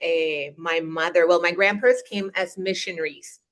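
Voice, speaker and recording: A woman's voice: lowish voice, fairly even intonation, normal speed. A young woman from Colombia, an English teacher, talking through her career in a casual interview over a video call, with frequent "eh" fillers and restarts. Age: young adult. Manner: chatty, lots of restarts and "eh" fillers.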